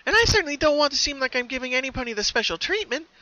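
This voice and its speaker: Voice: high voice, sing-song, very fast, sharp tone, lo-fi sound, pitched above the performer's own voice, full character voice. A performer voicing a giddy, bouncy young female cartoon pony with a sinister streak, gushing in singsong cheer. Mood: scheming glee.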